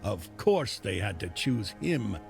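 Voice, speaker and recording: Gruff fantasy game chieftain type, deep and really croaky, grave delivery, music behind. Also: male voice, big pitch swings, takes it slowly, heavily acted. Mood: bitter. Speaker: video game character. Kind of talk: dramatic fantasy game dialogue. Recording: game dialogue over clear music.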